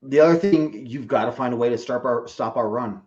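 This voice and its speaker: A man's voice: medium pitch, a little fast, a little mellow, muffled recording. A man who is a guest on a sports podcast, giving a casual, rambling football analysis with lots of hesitations and self-corrections. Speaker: podcast guest, team fan.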